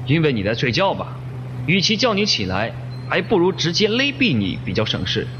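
A man's voice: fairly high pitch, huge pitch swings, brisk. A man playing a blunt, matter-of-fact police detective in a radio drama. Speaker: voice actor. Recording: dull lo-fi, noisy, reverberant hall.

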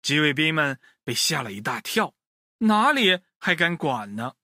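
A man narrates a storybook for small children with big swings in pitch. His voice is bright and forward, recorded close and dry, and he addresses his young audience directly. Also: big pitch swings, measured pace, dry recording.

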